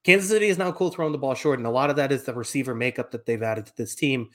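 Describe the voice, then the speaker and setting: A man with a mid-pitched voice talks football as a podcast co-host. He works through matchups and players in a conversational, analytical style. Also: very fast, fairly bright tone.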